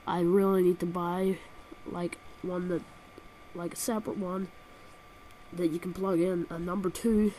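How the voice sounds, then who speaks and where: A woman's voice: fairly low pitch, level tone, unhurried, long pauses, dark tone, slight background noise, poor audio. A woman with a cold and a nasal voice, reviewing a video game with sarcastic, exaggerated comic rants, recorded on a built-in laptop microphone. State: has a cold.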